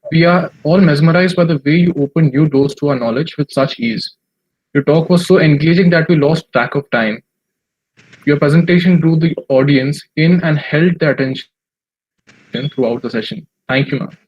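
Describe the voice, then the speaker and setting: A conference anchor formally thanking a speaker and introducing the next panel from a script. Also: female voice, low voice, moderate pace, a little mellow, husky, lo-fi sound. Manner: formal, effusive, reading from a script.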